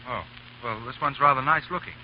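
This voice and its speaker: A man playing a quick-talking eager young heir. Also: mid-range voice, lightly acted. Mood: polite. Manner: polite, eager, quick and earnest. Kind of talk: 1930s radio drama acting. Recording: dull old radio broadcast recording with faint hiss.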